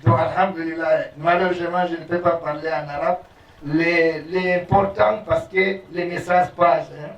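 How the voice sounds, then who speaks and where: A preacher with Arabic as his first language, reciting prayers in Arabic at speed and then explaining them slowly in halting French at a gathering. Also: a little high, fairly even intonation, creaky. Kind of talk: sermon. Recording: roomy, distant, dull sound.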